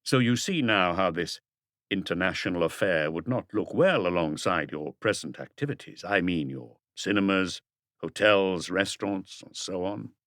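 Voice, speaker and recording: Guy doing a calm shrewd police detective in an audiobook, low voice, quiet and casual, dry sound. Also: normal speed, no room sound. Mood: calm, probing. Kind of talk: audiobook character dialogue.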